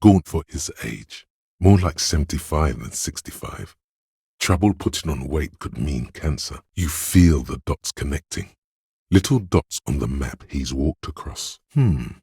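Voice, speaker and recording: A man voicing a detective's coolly observant inner voice of visual reasoning in a video game, narrating in a measured, clinical, matter-of-fact way with a deep, gravelly tone. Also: darkish tone, dry recording, studio quality, exaggerated voice. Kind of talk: scripted second-person game narration.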